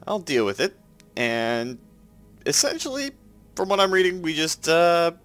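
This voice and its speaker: A man's voice: a little high, sing-song, a little slow, a bit bright, faint music behind. A man with a Canadian accent and a head cold that makes him sound nasal, chatting casually as he plays and explains his moves. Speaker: let's play host. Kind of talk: casual gameplay commentary.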